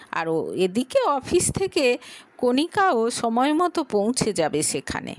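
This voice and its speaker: A woman narrates a dramatic audio story. Her voice is high and moves in lively swings. She reads at an unhurried pace, recorded close and dry. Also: dry recording.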